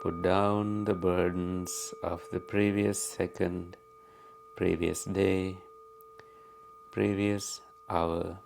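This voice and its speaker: Very slow, low-voiced man guiding meditation. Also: creaky, a little hiss or hum. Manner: calm, unhurried, with long pauses. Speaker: meditation teacher. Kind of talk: guided meditation.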